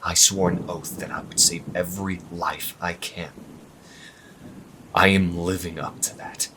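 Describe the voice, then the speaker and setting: A man's voice: sits low, level tone, measured pace. A man playing a defiant healer pleading with his lover in an intense, emotional fantasy audio drama. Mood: quiet, resolute. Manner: intense, emotional, swinging from hushed to shouting. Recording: rain and thunder noise behind.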